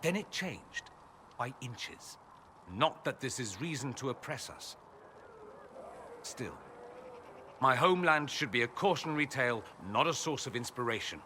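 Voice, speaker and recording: An urbane foreign mage for a fantasy game. His voice is bright and middle-pitched, with dry, theatrical sarcasm. There is a little noise on the recording. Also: animated, measured pace, long pauses. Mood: wry, cautioning. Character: urbane, sardonic male mage from a foreign empire. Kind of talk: video game dialogue acting.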